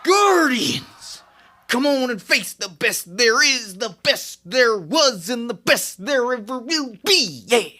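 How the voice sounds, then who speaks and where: A huge, boastful monster villain from an audio drama, forceful and sharp in tone. The delivery is slow, bombastic and taunting, like wrestling bravado, swinging widely in pitch. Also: big pitch swings, heavily acted.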